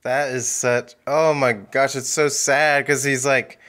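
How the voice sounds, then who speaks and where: A relaxed man in his thirties reacts and chats on a home movie podcast. He speaks into a studio microphone at an even, middling pitch, easygoing and quick to laugh. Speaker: podcast co-host. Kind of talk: casual movie review chat. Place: home room with bookshelves. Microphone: studio mic.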